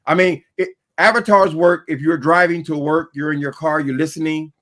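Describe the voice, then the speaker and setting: A man's voice: on the high side, fairly even intonation, normal speed, a bit bright. A man in his sixties hosting a casual panel chat, blunt and teasing with wisecracks. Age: 60s.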